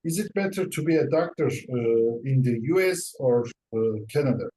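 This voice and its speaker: A man hosting an online interview, hesitant with frequent pauses and fillers. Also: medium pitch, animated, warm tone, low-quality recording. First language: Turkish. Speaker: host.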